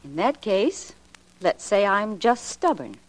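An actress playing a stubborn, guarded young secretary, crisp and defensive, in a 1940s radio mystery. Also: female voice, mid-range voice, big pitch swings, slow. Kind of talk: late 1940s radio drama acting. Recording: noisy old 1940s radio recording.

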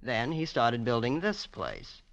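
Nonchalant young heir, lively intonation. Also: male voice, mid-range voice, a little slow, gravelly, highs cut off, heavily acted. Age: young adult. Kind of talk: 1940s radio drama acting.

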